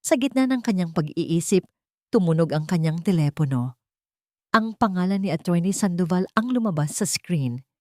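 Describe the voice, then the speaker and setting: Mellow, even-toned woman storyteller. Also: fairly low pitch, moderate pace, no room sound, clean studio sound. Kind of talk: dramatic scripted story narration.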